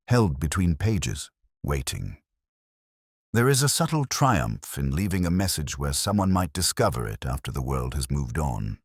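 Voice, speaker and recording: A man playing a weary police inspector, narrating his case in a measured, reflective and solemn way. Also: rumbling low, slightly rough, no echo, studio quality, full character voice. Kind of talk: slow scripted first-person audiobook-style narration.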